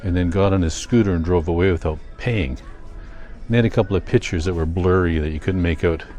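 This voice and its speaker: An older man with a Canadian accent, chatting casually in a rambling vlog, thinking aloud in a halting, self-correcting way. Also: low-pitched, a little mellow, faint music behind. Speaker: vlogger.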